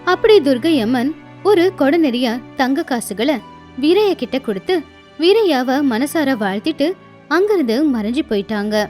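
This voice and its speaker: High-voiced woman storyteller over soft music. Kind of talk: scripted moral-story narration.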